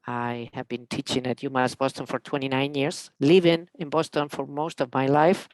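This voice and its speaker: A middle-aged woman from Spain with a Spanish accent, chairing a public meeting in a halting, deliberate way. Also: rumbling low, fairly even intonation, darkish tone, no room sound. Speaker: commission chair.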